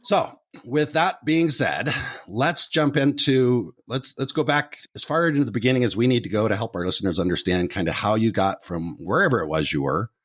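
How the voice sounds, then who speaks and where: A man's voice: mid-range voice, animated, normal speed, fairly bright tone. A man hosting a travel podcast, chatty and upbeat, with frequent restarts. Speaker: podcast host. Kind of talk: scripted intro then casual interview.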